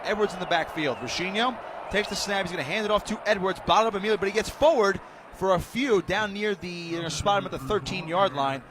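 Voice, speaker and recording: A high-pitched American man calls football live on the radio. He describes each play in excitable, clipped phrases, with clear stadium noise around him. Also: quick pace. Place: press box high above a stadium. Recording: loud stadium noise, outdoor broadcast.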